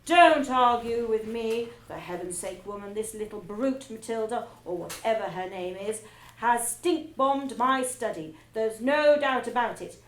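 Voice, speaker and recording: A woman voicing a bullying headmistress who barks her lines loudly and scornfully. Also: on the high side, a little slow, roomy sound, lightly acted, poor audio. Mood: scornful bellowing. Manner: loud, scornful barking. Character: bullying, barking female headmistress.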